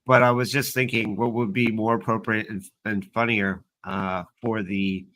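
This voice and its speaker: A man chatting casually on a livestream panel, explaining things in a halting, roundabout way. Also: lowish voice, little pitch movement, a bit bright, poor audio. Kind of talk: casual chat.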